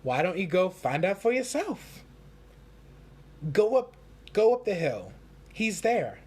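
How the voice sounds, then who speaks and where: A man's voice: high-pitched, sing-song, slow, a little mellow, slightly rough, pitched above the performer's own voice, exaggerated voice. A man voicing a carefree, self-assured female wooden puppet in a children's storybook, easygoing and encouraging. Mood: breezy.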